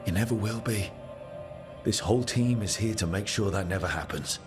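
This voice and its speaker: An actor playing a supportive, reassuring leader of an adventuring team in a video game. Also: male voice, fairly low pitch, normal speed, lightly acted. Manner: reassuring, encouraging. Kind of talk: video game cutscene acting. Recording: music behind the voice.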